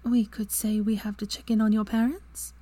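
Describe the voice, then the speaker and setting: A woman voicing a bright, eager young witch in a higher, lively voice that often drops to a hushed whisper. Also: moderate pace, no echo, lightly acted. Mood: affectionate.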